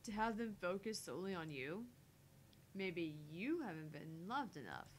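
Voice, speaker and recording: A shy but indignant young woman as a female gamer acts her out, defensive and exasperated. Her voice sits a little low with animated swings, over a faint rumble. It is visual novel dialogue. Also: moderate pace, natural voice. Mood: earnest, challenging. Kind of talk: voice-acting visual novel dialogue and inner monologue. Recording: faint background rumble and noise.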